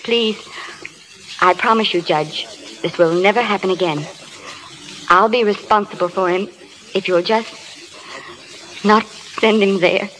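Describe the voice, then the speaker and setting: Devoted worried wife, 1930s radio play, earnest, pleading, faint hiss. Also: female voice, medium pitch, slow, pauses often, fairly bright tone, rough recording. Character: devoted, anxious young wife, a film star. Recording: old hissy radio broadcast.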